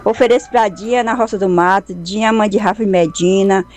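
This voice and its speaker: A woman's voice: mid-range voice, steady tone, brisk, a little mellow, a little hiss or hum. Woman caller listing song dedications. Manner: reads long list of dedications. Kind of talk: phone call to radio.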